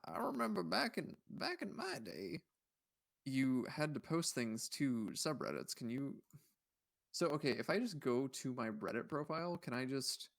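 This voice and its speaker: A man's voice: medium pitch, gravelly, fairly bright tone. A man hosting a livestream, chatting casually and rambling as he thinks aloud in a puzzled, musing way while browsing. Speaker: livestream host.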